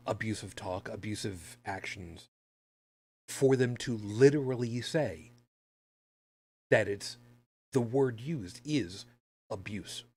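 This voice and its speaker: A man from the United States co-hosting a podcast, giving casual, opinionated commentary in a halting, emphatic, sardonic way. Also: lowish voice, darkish tone. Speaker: podcast co-host.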